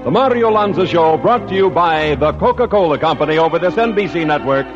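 A man's voice: on the high side, lively intonation, brisk, muffled recording. A man with an American accent announcing a vintage radio show in a polished, theatrical, formal manner. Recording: band-limited vintage radio broadcast with music. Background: loud music.

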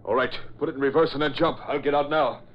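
An actor playing a cold Nazi spy chief in a 1940s radio drama, with a German accent and a clipped, formal, commanding manner. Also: male voice, mid-range voice, fairly even intonation, quick pace, background noise, natural voice. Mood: urgent command. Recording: dull old radio recording.

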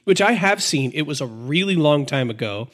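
An American man co-hosting a podcast in casual chat, sounding congested, a little nasal and gravelly from a sinus infection, with a cheeky, upbeat way of talking. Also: on the high side, expressive, normal speed, fairly bright tone. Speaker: podcast co-host.

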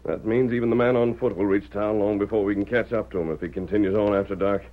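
An actor playing an earnest, commanding masked cowboy hero in an old-time radio western, reasoning aloud in crisp, formal sentences. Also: male voice, fairly low pitch, fairly even intonation, fast, lightly acted. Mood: earnest, pondering. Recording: tinny, narrow-band vintage radio recording.

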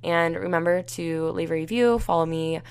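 A young woman hosting a solo podcast, talking casually with lots of fillers, her voice raspy and tired. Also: lowish voice, normal speed, a little mellow. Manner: rambling, many fillers like "like" and "you know". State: tired and dehydrated. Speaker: podcast host.

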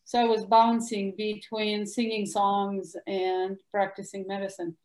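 A woman with a Russian accent, a guest doctor answering interview questions in an animated, emphatic way. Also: medium pitch, measured pace. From former Soviet Union. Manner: animated, emphatic, bursts of louder words. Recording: echoey room.

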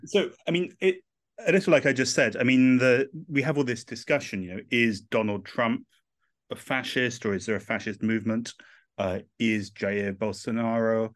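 A man's voice: medium pitch, fairly even intonation, normal speed, no echo. A man in his thirties with an English accent, speaking as an author in an interview, thoughtful and full of "uh" fillers. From England. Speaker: author and guest. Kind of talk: podcast interview.

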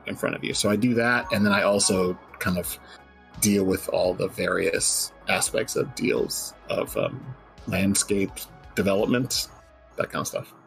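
A man's voice: fairly low pitch, gravelly, a bit bright, poor audio. Man podcast host with music behind. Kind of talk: casual podcast talk.

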